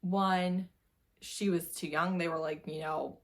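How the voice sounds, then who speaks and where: A woman's voice: fairly low pitch, steady tone, takes it slowly. A woman casually reviewing books as a video host, chatty and informal, leaning on repeated phrases for emphasis. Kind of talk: casual book review chat to camera.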